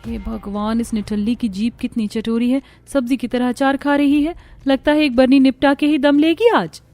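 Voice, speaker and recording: A woman's voice: high-pitched, steady tone, normal speed, darkish tone. A woman voicing a put-upon young daughter-in-law in a cartoon, complaining in sarcastic, exasperated asides. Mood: sarcastic irritation. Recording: music bed under the voice.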